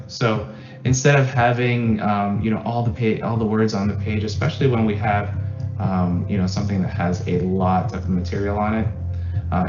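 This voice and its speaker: Easygoing man demoing software, echoey and dull. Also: on the low side. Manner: relaxed, fills with "uh" and "um", repeats words for emphasis. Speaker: school technology presenter. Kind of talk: screen-share software demo.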